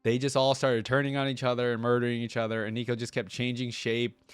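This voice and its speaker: A man's voice: medium pitch, flat delivery, fast, clear, resonant tone, no room sound. A man giving casual, off-the-cuff reaction commentary as the host of a video. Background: music from videos being watched.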